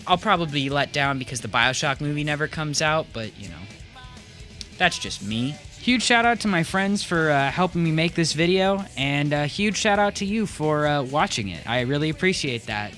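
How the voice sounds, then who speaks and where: A man's voice: a little high, pushed and bright. A young man hosting a video essay in a wry, casual scripted commentary style, with fillers. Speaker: host.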